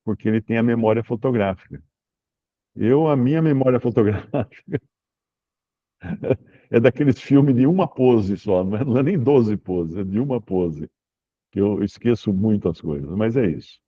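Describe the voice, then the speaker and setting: Man teaching scripture, lo-fi. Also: lowish voice. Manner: relaxed, explanatory, reads scripture aloud slowly. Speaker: Bible teacher answering questions. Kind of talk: informal Bible study Q&A.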